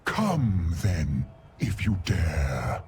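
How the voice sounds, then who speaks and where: Slow, menacing necromancer villain. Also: male voice, low-pitched, huge pitch swings, slight background noise, heavily acted. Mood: arrogant menace. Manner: theatrical, slow, taunting. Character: menacing, arrogant undead necromancer villain commanding slaves. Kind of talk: video game villain acting. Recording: game dialogue under loud music.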